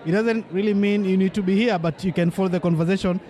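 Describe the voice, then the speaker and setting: Upbeat high-voiced male co-host. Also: fairly even intonation, quick pace, dark tone. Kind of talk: live conference radio chat.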